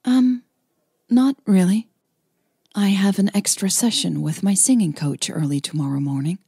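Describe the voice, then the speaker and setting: A woman's voice: medium pitch, moderate pace, creaky, warm tone, breathy edge, no room sound, clean studio sound. A woman narrator playing a reserved, studious young opera singer who answers tersely in an audio romance. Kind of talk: audio romance story dialogue.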